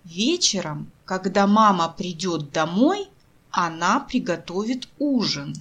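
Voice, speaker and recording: Very slow, slightly husky woman reading drill sentences. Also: on the low side, animated, a bit bright. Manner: deliberate, careful phrase-by-phrase enunciation with pauses. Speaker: language teacher reading example sentences. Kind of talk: scripted language drill, each sentence read then repeated slowly.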